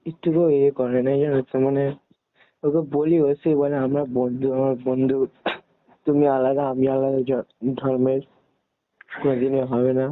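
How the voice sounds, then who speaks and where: A young man, talking casually and haltingly on a private call, circling around his questions. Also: medium pitch, level tone. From West Bengal, India. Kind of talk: casual private phone conversation. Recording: narrow tinny phone line with noise.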